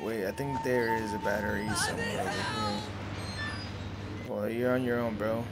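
A man's voice: on the low side, fairly even intonation, normal speed, over a noisy crowd. A young man doing casual gameplay commentary, slangy and foul-mouthed, often repeating himself. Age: young adult. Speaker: gamer, streamer. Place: small room indoors at home. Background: loud game audio and music.

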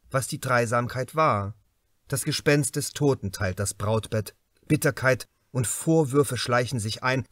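A man delivers scripted literary narration in a mid-pitched voice. He phrases deliberately and leans on key words for drama. The sound is dry, with no room around it. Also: dry recording. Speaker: audiobook narrator.

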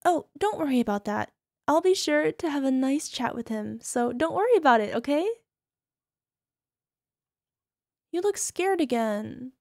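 High-pitched, sugary, possessive yandere wife acted by a woman. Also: expressive, moderate pace, a little mellow, no echo, clean studio sound. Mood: sweetly menacing. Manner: cooing, coaxing, sugary with controlling edge. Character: possessive, doting young yandere wife, sweetly menacing. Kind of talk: ASMR roleplay, speaking directly to listener.

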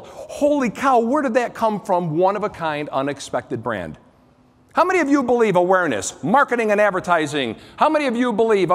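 A middle-aged American man speaks as a keynote presenter, his voice pitched high for a man and swinging widely up and down. He is emphatic and theatrical, repeating short phrases for punch. The sound rings with the long reverb of a big hall's speaker system. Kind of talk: motivational business keynote speech to an audience. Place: on stage in large conference halls.

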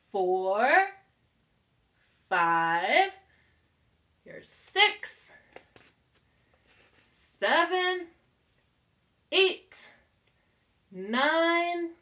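Slow, bright American woman teaching preschoolers. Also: fairly high pitch. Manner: simple, encouraging, instructional, with deliberate enunciation. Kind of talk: read-aloud counting lesson for young children.

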